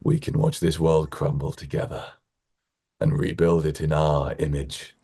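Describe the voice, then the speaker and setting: An actor playing a sinister, silky tyrant from a fantasy game, deliberate and softly threatening. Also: male voice, very deep voice, flat delivery, slightly rough, exaggerated voice. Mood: soft menace.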